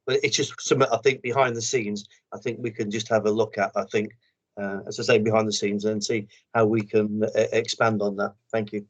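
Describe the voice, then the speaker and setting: A man, a councillor chairing an online meeting, speaks haltingly and keeps repeating "I think". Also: lowish voice, little pitch movement. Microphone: video call. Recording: dull lo-fi video call audio.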